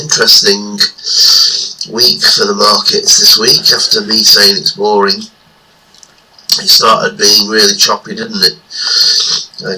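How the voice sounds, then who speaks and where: Bunged-up man, flat and slow. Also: fairly low pitch, a little hiss or hum, poor audio. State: stuffy nose from a cold. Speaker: market analysis vlogger. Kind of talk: casual chart commentary. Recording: played back from an earlier video.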